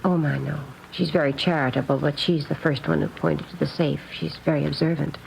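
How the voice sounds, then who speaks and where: An actress playing an earnest, naive and very proper nun in a 1950s radio drama, speaking in careful, precise phrases. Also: female voice, sits low, fairly even intonation, brisk, gravelly, darkish tone. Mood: prim, fussy. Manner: prim, proper, carefully enunciated. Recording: dull old radio recording, faint noise.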